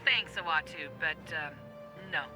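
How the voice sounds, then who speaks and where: An actor playing a dry, hesitant, placating recording director in a comedic video game. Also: male voice, fairly high pitch, slow pace, music in the background, poor audio. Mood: patient, weary. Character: weary, patient voice-recording session director.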